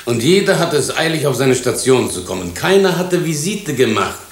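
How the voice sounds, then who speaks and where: An actor playing an earnest, matter-of-fact senior hospital physician explaining himself in a radio drama. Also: male voice, mid-range voice, very animated, normal speed. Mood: earnest, uneasy. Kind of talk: German radio drama acting. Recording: roomy, echoing radio drama studio.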